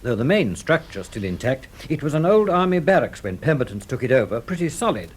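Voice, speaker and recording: An actor playing an earnest, worried insurance man with a British accent and a husky voice in a radio drama. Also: medium pitch, big pitch swings, brisk. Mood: earnest, concerned.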